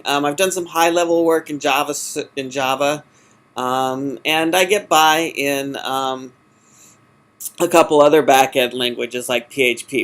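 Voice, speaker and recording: Halting man with a slightly high voice. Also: fairly bright tone. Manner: halting, hesitant, self-correcting. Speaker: job candidate in user experience design. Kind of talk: phone job interview, informal answers.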